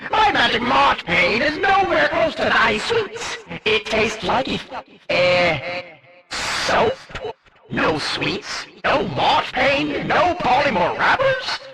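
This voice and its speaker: A man's voice: very high voice, huge pitch swings, slow, gravelly, over a noisy crowd, exaggerated voice. A man voicing a jesty, centuries-old skeleton merchant for a game, speaking in theatrical mock-archaic language. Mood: excited haggling. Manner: theatrical, archaic, exclamatory, riddling. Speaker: character voice for a game.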